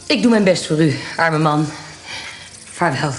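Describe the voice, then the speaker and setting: A woman's voice: low voice, expressive, measured pace. An actress in a radio play as a determined, persistent noblewoman. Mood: urgent. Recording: noisy ambience around the voice.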